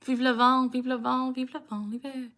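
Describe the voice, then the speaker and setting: A young woman hosts a casual live Q&A, reading out questions and chatting in an upbeat way. Her voice is bright and pitched a little high. She laughs often, and sudden vocal tics cut into her sentences. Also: little pitch movement, normal speed. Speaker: livestreamer answering chat questions. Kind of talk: casual livestream Q&A chat.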